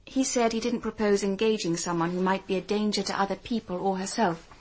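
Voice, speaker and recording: A woman's voice: medium pitch, steady tone, a little fast, darkish tone, lightly acted, poor audio. Actress as an earnest young English woman detective. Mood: subdued, factual. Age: young adult. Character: earnest young female private detective, polite but determined. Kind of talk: British radio drama acting.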